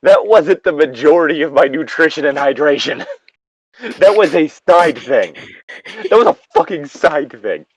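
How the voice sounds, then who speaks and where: A man's voice: a little high, moderate pace, breathy edge, no echo. A man hosting a casual story reading, breaking off into sweary, exasperated commentary. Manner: sweary, animated, exasperated asides. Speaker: host reading an internet story aloud.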